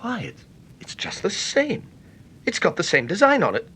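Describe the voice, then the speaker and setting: Polite gent visitor in an old radio play, sorry-to-bother-you manner, really swoopy intonation. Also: male voice, high voice, very animated, moderate pace, a bit bright, a little hiss or hum. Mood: courteous, puzzled. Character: apologetic gentleman visitor, courteous and smoothly persistent. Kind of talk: vintage radio drama acting.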